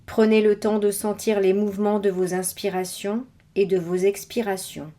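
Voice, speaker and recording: A woman guiding a body scan meditation with measured, scripted instructions and pauses between phrases. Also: medium pitch, flat delivery, mellow. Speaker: meditation guide.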